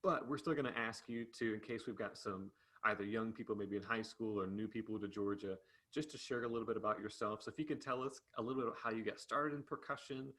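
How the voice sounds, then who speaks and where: Fast-talking man hosting an interview. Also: fairly low pitch, a bit bright. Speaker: interview host.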